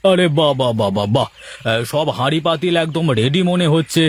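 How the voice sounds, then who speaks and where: Man doing a hearty, husky village merchant. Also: a little high, lively intonation, fairly quick. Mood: delighted. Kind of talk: cartoon voice acting.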